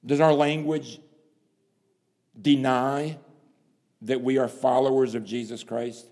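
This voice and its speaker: Slow, dramatic preacher man in a hall. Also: mid-range voice, flat delivery. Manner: dramatic, swings from hushed to loud emphasis, rhetorical questions. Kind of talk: sermon. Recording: long hall reverb.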